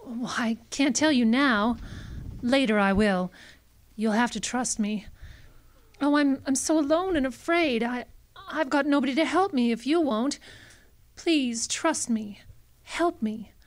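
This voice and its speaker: An anxious, secretive femme fatale in an old-time radio detective play, acted by a woman. Her voice is fairly high. She speaks hesitantly and pleads with melodramatic urgency. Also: unhurried. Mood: pleading.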